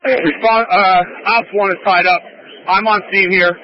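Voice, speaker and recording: A man's voice: high-pitched, steady tone, a little slow, a little hiss or hum. A man speaking as a fire officer over a two-way radio, direct and matter-of-fact. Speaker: firefighter officer. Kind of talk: fireground radio traffic. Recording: muffled lo-fi emergency scanner radio.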